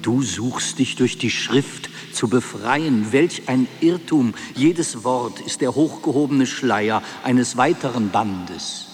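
An actor playing a stern sage who pronounces aphorisms in a measured way for a radio drama. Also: male voice, medium pitch, expressive, darkish tone, slight background noise. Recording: long hall reverb, faint music.

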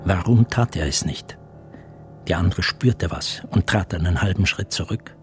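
Actor doing grave guy narrator, lowish voice, measured literary radio drama reading over music. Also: natural voice. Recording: studio voice with music behind.

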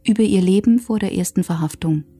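A woman's voice: mid-range voice, fairly quick, dark tone, faint music behind. A woman narrating a scripted documentary in a factual, sober manner.

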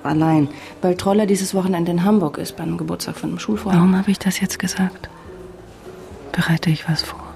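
A woman's voice: fairly low pitch, steady tone, fairly quick, gravelly. Guarded young female reporter, music underneath. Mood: hushed, inward. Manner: slips into hushed, intimate asides. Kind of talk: radio drama acting with whispered inner monologue.

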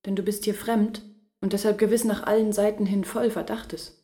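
An actress playing a guarded, earnest village woman in a literary radio play, measured and intimate. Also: mid-range voice, flat delivery, mellow, natural voice. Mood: grave, confiding.

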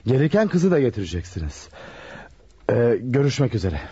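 Mellow, husky amateur sleuth. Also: male voice, medium pitch, big pitch swings, moderate pace. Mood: businesslike. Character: shrewd, self-assured man playing amateur detective. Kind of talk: Turkish radio drama acting. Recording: muffled lo-fi radio drama recording.

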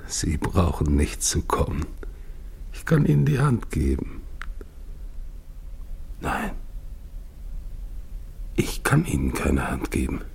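An actor playing a dying, grieving expedition leader in a German radio play, speaking solemnly with repeated phrases in a gravelly, husky voice. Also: male voice, a bass voice, huge pitch swings, slow pace, pauses often, darkish tone, heavily acted. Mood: desolate, fading. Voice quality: gravelly, husky, at times whispered. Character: dying, grieving male polar expedition leader recounting his doomed march.

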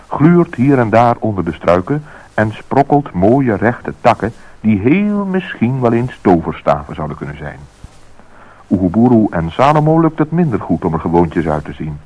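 Husky male storybook narrator. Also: lowish voice, a little mellow, low-quality recording. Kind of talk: scripted radio play narration.

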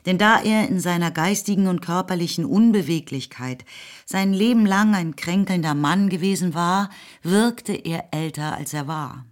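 A woman narrator reading literary prose for a radio play in a measured, expressive storytelling manner. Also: on the low side. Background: faint music.

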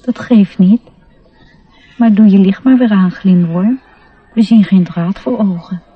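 A woman playing a proud, earnest young male elf in a radio play, lofty and formal, with a husky voice. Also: mid-range voice, a little slow, no echo, narrow, tinny audio. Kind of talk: Dutch radio play acting.

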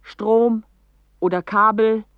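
An actor voicing a stark, despairing speaker who declaims poetic fragments emphatically in a radio play. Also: male voice, sits high, fairly even intonation, takes it slowly, clean tone, dry recording. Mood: stark, emphatic. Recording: dull, dry 1980s radio recording.

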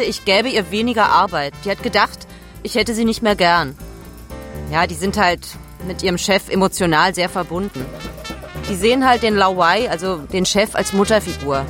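A woman's voice: medium pitch, fairly bright tone, lightly acted. An actress playing a caring, self-critical expatriate woman boss, giving a candid conversational monologue in a radio drama.

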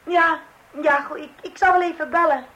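Radio drama housewife: very high voice, polite, flustered, hesitant delivery. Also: female voice, steady tone, normal speed, pushed and bright, poor audio. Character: flustered, polite housewife, wife of a clumsy do-it-yourselfer. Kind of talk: radio play acting. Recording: old radio play recording.

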